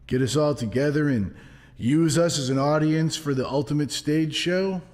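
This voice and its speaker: An actor playing a hard-boiled, sardonic police detective pressing a suspect in a noir audio drama. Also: male voice, on the high side, moderate pace, darkish tone, lightly acted. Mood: taunting. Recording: reverberant, clear music underneath.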